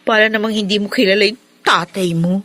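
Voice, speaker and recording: An actress playing a frank young daughter in a radio drama. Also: female voice, medium pitch, steady tone, a little fast, a bit bright, close to an everyday voice. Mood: pointed, cool. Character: frank young woman, secret daughter of the father.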